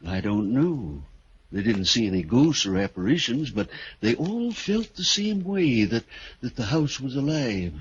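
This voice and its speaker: A solemn house seller in an old radio mystery. He speaks in a middle register, halting and foreboding like a reluctant storyteller. The 1940s recording is dull and faintly noisy. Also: mid-range voice, very animated. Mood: grave, ominous. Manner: measured, ominous, halting storyteller. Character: grave house seller telling an ominous story. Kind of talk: 1940s radio drama acting.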